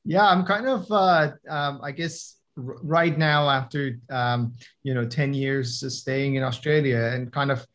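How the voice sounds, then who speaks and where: A young man whose first language is not English, speaking as a guest in an interview, answering hesitantly with frequent pauses and fillers. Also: mid-range voice, fairly even intonation, fairly bright tone. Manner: hesitant, many fillers and restarts. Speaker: guest, tech entrepreneur. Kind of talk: conversational interview.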